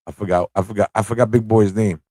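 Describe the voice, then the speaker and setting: A man's voice: lowish voice, steady tone, fast talker, dark tone, dry recording, studio quality. A man hosting a livestream in a casual, rambling way, thinking aloud and repeating phrases as he goes. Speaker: livestream show host.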